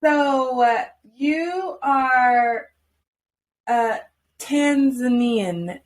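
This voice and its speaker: A woman hosts a casual interview in a slow, unhurried way. Her voice sits a bit high, and she comes across playful, laughing easily between her questions. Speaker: podcast host.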